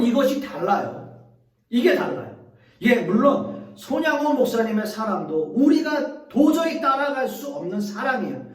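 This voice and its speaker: A man's voice: high voice, reverberant, recorded from a distance. A middle-aged male pastor preaching a sermon earnestly, speaking directly to the congregation and repeating key phrases.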